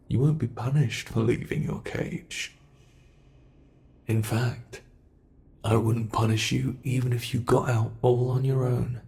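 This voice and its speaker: A British man voicing an ancient male monster in an ASMR horror roleplay, speaking with soft, mockingly polite menace. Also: mid-range voice, vocal fry. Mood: teasing. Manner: slow, soft-spoken, teasing menace.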